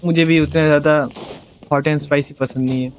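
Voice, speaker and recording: A woman's voice: low voice, fairly even intonation, racing, darkish tone, a little hiss or hum. A woman with an Indian accent, casually commenting as a live game streamer. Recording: dull lo-fi mic, game music behind.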